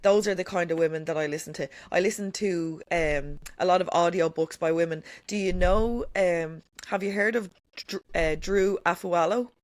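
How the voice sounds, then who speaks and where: A woman's voice: on the low side, normal speed, few pauses, dry recording. A woman talking as a podcast guest, emphatic and candid, swearing freely and leaning on "like" and "you know". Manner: says she has a strong voice. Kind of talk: candid conversational chat.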